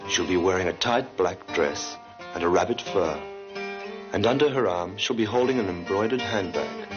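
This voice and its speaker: An actor playing a worldly man tipping off a friend in an easy, knowing manner, on a dull old film soundtrack over loud guitar music. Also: low voice, normal speed, natural voice. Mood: teasing.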